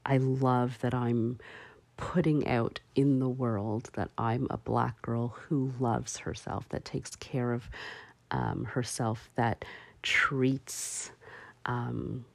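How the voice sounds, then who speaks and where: A woman hosting a solo talk show, speaking slowly and haltingly with many fillers. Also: rumbling low, fairly even intonation, vocal fry, dry recording. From parents from Barbados. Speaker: podcast host.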